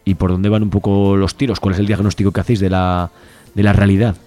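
A man with a slightly low, very mellow and dark voice acts as an interviewer. He speaks in an informal, conversational way, often checking in with short question tags. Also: little pitch movement, fast talker, soft background music. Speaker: radio host interviewer.

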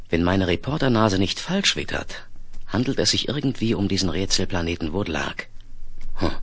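Deep-voiced, lively young reporter, cocky. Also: male voice, no echo, full character voice. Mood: musing, curious. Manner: smooth-talking, teasing. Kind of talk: 1970s German radio play acting.

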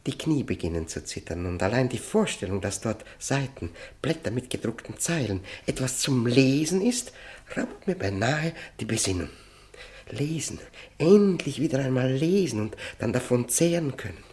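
A man's voice: medium pitch, big pitch swings, normal speed, darkish tone, close to an everyday voice. An actor as a desperate male prisoner narrating a radio play. His delivery swings widely in melody, intense and obsessive. He halts and repeats words as he recounts his confinement. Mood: feverish longing. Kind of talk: German radio play, dramatic first-person narration.

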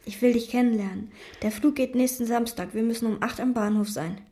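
An actress playing an obsessive, lonely young chambermaid who speaks in terse, intense lines and inner monologue in a radio drama. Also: female voice, fairly high pitch, steady tone, fairly quick, mellow, a little hiss or hum, lightly acted. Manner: terse, intense, sometimes whispered.

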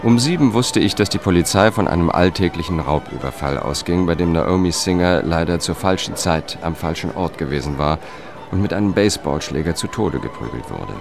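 A dogged investigator with a low voice, telling his case in a crime radio play. He is dry and sober, in short factual sentences. Music sits clearly behind him. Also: lively intonation, fairly quick. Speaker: protagonist and first-person narrator. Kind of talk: first-person crime radio drama narration.

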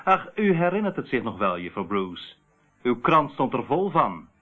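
A man's voice: medium pitch, big pitch swings, normal speed, narrow, tinny audio. An actor playing a boastful, cold and ruthless male crime syndicate boss in a radio play, with a mocking, menacing manner. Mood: smug, gloating.

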